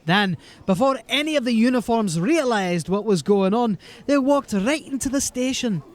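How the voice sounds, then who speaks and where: A man's voice: extremely high pitch, expressive, normal speed. A man playing a folksy, rambling, deferential corporal of a fantasy city watch in an audio drama. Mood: earnest. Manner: folksy, rambling, eager to please.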